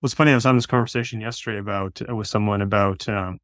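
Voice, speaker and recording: A man with a lowish voice chats easily as a podcast guest, thinking his way through each point as he talks. He comes through a video call with a dry, close sound. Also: steady tone, racing, a bit bright, dry recording. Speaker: guest, wellness coach. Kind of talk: casual chat.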